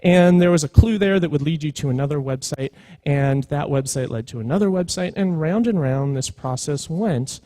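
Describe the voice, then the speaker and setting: A man in his thirties speaking at a conference in a conversational way, pausing deliberately for emphasis. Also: fairly high pitch, warm tone, no room sound. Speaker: conference speaker, storytelling professional. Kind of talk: informal conference talk.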